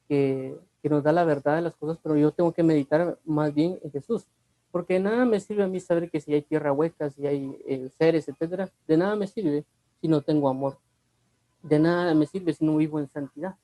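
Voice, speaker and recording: A man's voice: on the high side, warm tone, dry recording, rough recording. A man with a Guatemalan Spanish accent gives a conversational Bible teaching. He repeats himself and asks the listener rhetorical questions. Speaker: preacher.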